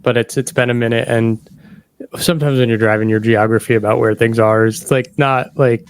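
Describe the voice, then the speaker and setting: A man hosting a podcast interview over a video call, friendly and chatty, with short quick acknowledgments. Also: mid-range voice, fairly even intonation, clean tone. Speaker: podcast host. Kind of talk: casual interview chat. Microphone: remote video call.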